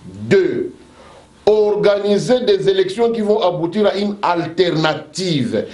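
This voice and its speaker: Male pastor, preaching cadence, slow emphatic delivery, roomy echo. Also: high-pitched, big pitch swings, a little mellow. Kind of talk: impassioned debate speech.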